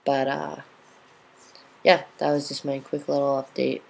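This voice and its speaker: Slow, gravelly young man on webcam. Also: mid-range voice, monotone, poor audio. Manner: halting, with frequent pauses and fillers. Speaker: vlogger. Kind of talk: casual webcam update. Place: small indoor room at home. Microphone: built-in webcam mic at close range.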